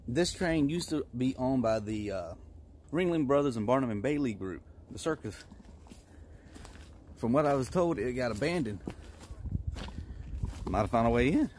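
A man in his thirties filming a casual urban exploration vlog on a phone held at arm's length, talking in a relaxed, offhand way with fillers. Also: mid-range voice, moderate pace, long pauses, a little hiss or hum. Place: abandoned train cars, indoors and outside. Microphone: phone camera held at arm's length.

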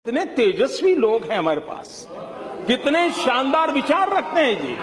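A man doing a high, sing-song mock orator. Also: very animated, moderate pace, crowd noise.

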